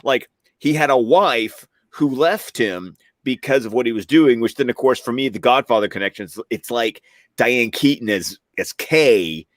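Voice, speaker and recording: A man's voice: mid-range voice, normal speed, no room sound, clean studio sound. A man co-hosting a recap show, talking casually and reflectively as he analyses the story and draws comparisons. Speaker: podcast co-host discussing cartoon episodes. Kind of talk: casual chat, commentary.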